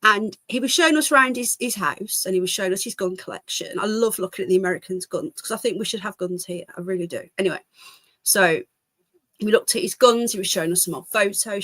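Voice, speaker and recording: A British woman hosts a casual livestream with a medium-pitched, slightly congested voice, recorded close and dry. She chats in a rambling, easily distracted way, hopping between asides as she addresses her viewers. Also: fairly bright tone, no room sound. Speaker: livestream host.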